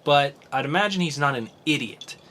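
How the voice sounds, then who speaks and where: A man's voice: a little high, expressive, fairly quick, sharp tone, a little hiss or hum. A man hosting a casual podcast, thinking aloud and swearing casually as he reasons. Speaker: podcast host.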